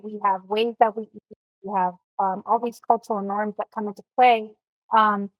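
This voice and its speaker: A woman's voice: medium pitch, no room sound, dull audio. A woman from Miami, a nutrition coach guesting on a podcast, speaking earnestly and reflectively with many you-knows and ums. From Miami, United States. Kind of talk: podcast interview.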